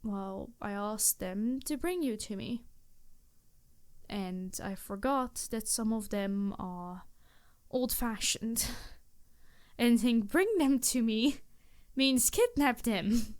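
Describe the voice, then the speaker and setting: A woman's voice: a little high, expressive, slow pace, darkish tone. A woman voicing an embarrassed, centuries-old vampire in an ASMR roleplay, speaking formally and hesitantly, earnest and apologetic to the listener.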